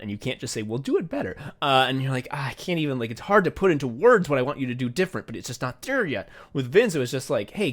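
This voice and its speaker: A man co-hosting a casual behind-the-scenes chat, swearing freely and running himself down as he talks about directing. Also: mid-range voice. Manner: profane, self-deprecating. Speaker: podcast co-host and audio play director.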